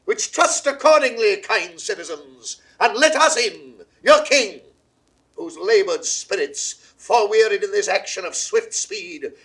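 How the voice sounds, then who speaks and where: A man's voice: high voice, lively intonation, measured pace, a bit bright, exaggerated voice. An actor playing an imperious, defiant English king in a classical Shakespearean audio drama, with grandiloquent old theatrical British delivery. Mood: commanding, rhetorical. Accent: British English, old theatrical received pronunciation. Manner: grandiloquent, sweeping oratory. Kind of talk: mid-century classical Shakespearean stage declamation. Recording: 1960s studio audio drama recording.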